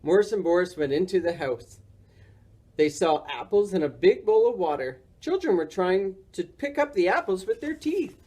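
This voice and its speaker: Animated storybook reader with lively pitch. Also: moderate pace, breathy edge. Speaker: library story time reader. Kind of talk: children's storybook narration.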